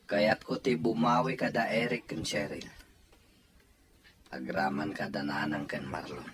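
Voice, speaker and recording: A man's voice: rumbling low, lively intonation, a little slow, fairly bright tone, slight background noise, full character voice. A man acting a troubled, defensive grown son and father in an audio drama, with a Filipino accent. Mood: remorseful.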